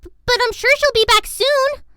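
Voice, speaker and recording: A woman voicing an excitable, blunt young filly pony in an acted cartoon fan fiction, with folksy exclamations. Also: very high-pitched, normal speed, dry recording. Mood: worried.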